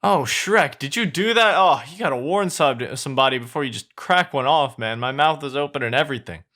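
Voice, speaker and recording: A man voicing a fast-talking, excitable donkey sidekick in a fairy-tale story, rambling and informal. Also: a little high. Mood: indignant.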